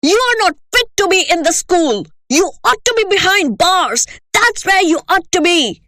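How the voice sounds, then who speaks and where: A woman's voice: a little high, very animated, a little slow, no echo, studio quality. A woman doing a raging bully headmistress. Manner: shouting, contemptuous.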